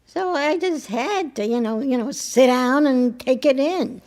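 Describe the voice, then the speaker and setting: An actress playing a wry, wistful married woman in an audio drama, chatty and hesitant, with a creaky voice. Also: sits high, measured pace, darkish tone. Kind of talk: naturalistic audio drama acting.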